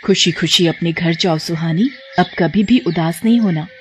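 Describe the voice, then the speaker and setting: A woman's voice: on the low side, moderate pace, slight background noise, natural voice. A woman voicing a kind magical mother figure who gives blessings in a storybook tale, soothing and solemn. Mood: reassuring.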